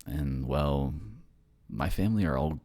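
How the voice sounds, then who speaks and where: A man's voice: extremely low pitch, a little slow, mellow, full character voice. A man acting in an ASMR roleplay as an earnest, reassuring boyfriend raised by ghosts, with a hesitant manner.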